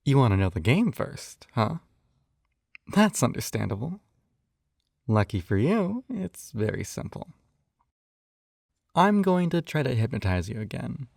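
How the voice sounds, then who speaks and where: A man plays a possessive yandere hypnotist in an intimate audio roleplay. He takes his time, coaxing and doting with a faintly condescending edge. His voice sits at an ordinary male pitch, recorded very dry. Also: big pitch swings, a little slow, gravelly, pauses often, darkish tone, dry recording. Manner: coaxing, doting, slightly condescending, often sighing. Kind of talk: intimate scripted roleplay acting.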